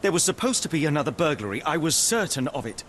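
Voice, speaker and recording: Arrogant detective, game cutscene, brusque, articulate, restless, lively higher-pitched delivery. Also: male voice, fast, a little hiss or hum. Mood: brooding. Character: sharp, arrogant, restless young male consulting detective. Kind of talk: video game cutscene acting.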